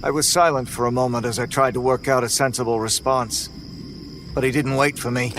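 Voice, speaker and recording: A man telling a first-person horror story in a tense, measured way. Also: mid-range voice, fairly even intonation, fairly bright tone, soft background music. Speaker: narrator.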